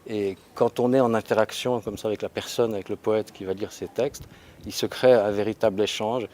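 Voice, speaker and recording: A man's voice: on the low side, steady tone, fairly quick, a little mellow, a little hiss or hum. A man organizing an event, speaking earnestly in an interview and repeating small words as he builds his point.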